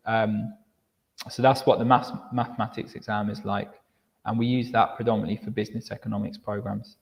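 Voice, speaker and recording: A man presenting university admission steps in a live online session, explaining clearly, often repeating words as he restarts and checking in with "okay". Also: medium pitch, in a large hall.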